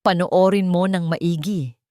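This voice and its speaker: A woman's voice: on the low side, fairly even intonation, measured pace, dark tone, no echo, studio quality, lightly acted. A woman voicing a cold, calculating male crime boss in an audio drama, menacing and contemptuous. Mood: gloating menace.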